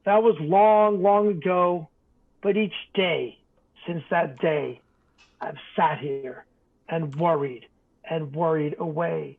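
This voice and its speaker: A man reading a rhyming bedtime story aloud in an expressive, dramatic way. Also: a little high, slow pace, vocal fry. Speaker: storybook reader. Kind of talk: theatrical bedtime story narration in rhyme. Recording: tinny, phone-like narrow band.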